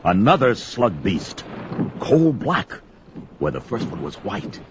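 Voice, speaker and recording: An actor playing a proud, gruff barbarian warrior who narrates his own actions in archaic, melodramatic audio-drama style. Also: fairly low pitch, very animated, slow, noisy background. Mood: battle-strained, fierce. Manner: archaic, bombastic speech with oaths. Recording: dull lo-fi recording with loud music bed. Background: dramatic music.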